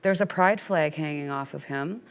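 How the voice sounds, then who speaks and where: A woman's voice: sits low, moderate pace. A middle-aged woman from Canada, a guest in a conversation, speaking heartfelt and informal, often saying "you know". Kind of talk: conversational interview.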